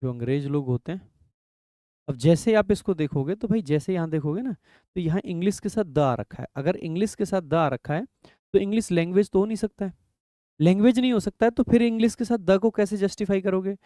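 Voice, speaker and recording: A young man with a high, mellow voice teaches grammar for competitive exams. He walks through each rule patiently, voicing his students' doubts and then settling them himself. The recording is close and dry, with no room sound. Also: no room sound. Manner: explanatory, asks and answers his own questions, reads rules slowly. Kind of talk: exam-prep grammar lecture in Hindi.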